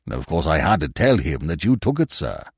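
A man's voice: very deep voice, very animated, fairly quick, a little mellow, slightly rough, no room sound, clean studio sound, pitched below the performer's own voice, full character voice. A man voicing a put-out club cloakroom attendant, deferential yet coldly grumbling, in an audiobook. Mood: coldly reproachful. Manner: deferential, grumbling, servile "sir". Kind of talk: audiobook character voice.

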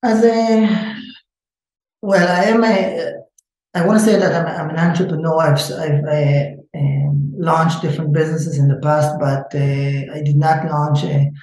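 A man with an Israeli accent answers questions about his startup, pausing often on "uh" and "um" and restarting his sentences. His voice sounds dark and mellow, and it rings in a very echoey room. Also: a little high, little pitch movement. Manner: hesitant, many fillers and restarts. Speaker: startup founder. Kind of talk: interview answers.

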